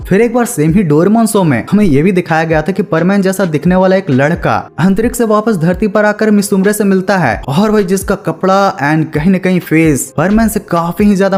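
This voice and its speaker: A man's voice: sits high, darkish tone. A man narrating a casual explainer video in a chummy, slangy way, addressing viewers as friends. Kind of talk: casual explainer narration mixing Hindi and English.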